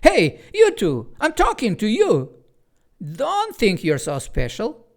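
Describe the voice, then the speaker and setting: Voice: medium pitch, very animated, extremely slow, creaky, voice pushed up, full character voice. Storybook: reader voicing a feisty little girl who talks back sharply. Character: bold, feisty young girl, Anne.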